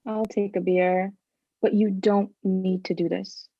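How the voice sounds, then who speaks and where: A woman's voice: mid-range voice, little pitch movement, a little slow, creaky, slight background noise. A woman playing a dry, hard-line radical separatist in a live staged play reading. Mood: protective. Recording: dry, slightly dull microphone.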